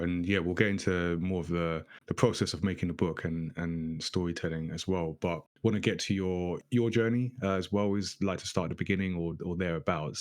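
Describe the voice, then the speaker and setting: Deep-voiced male podcast co-host. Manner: relaxed, affirming, laughs easily. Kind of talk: casual interview chat.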